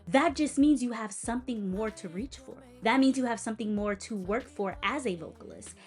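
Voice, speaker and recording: A young woman with a light, fairly high voice talks straight to camera as a music educator. She is emphatic and animated, leaning hard on key words and handing out direct advice, with faint music underneath. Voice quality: light-sounding voice. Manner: direct, teacherly advice to the viewer. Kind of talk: casual commentary and performance critique.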